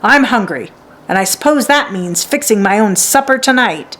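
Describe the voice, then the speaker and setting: A stern, grasping man from a children's storybook, acted out by a woman reader. He speaks in a gruff, curt, dismissive way, with pitch that swings in a lively way. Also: moderate pace, lightly acted. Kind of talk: children's storybook read aloud.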